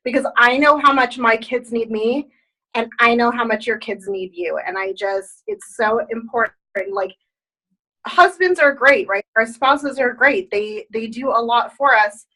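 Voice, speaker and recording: A woman hosting a group video call, giving an enthusiastic, rallying testimonial pitch through a laptop webcam. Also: unhurried, bright, forceful tone, low-quality recording.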